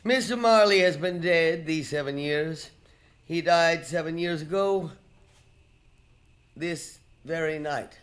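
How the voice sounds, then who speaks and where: A woman's voice: low-pitched, takes it slowly, pauses often. A woman playing a curt, scornful, miserly old male boss in a live radio drama. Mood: cold, scornful.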